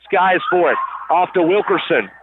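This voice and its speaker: A male radio sports announcer with a high-pitched voice calls the game live. He runs through the action in clipped phrases and leans hard on the big moments. The sound is a rough broadcast feed with noise behind him. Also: expressive, normal speed. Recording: phone-like radio broadcast, loud gym noise. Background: crowd and game noise.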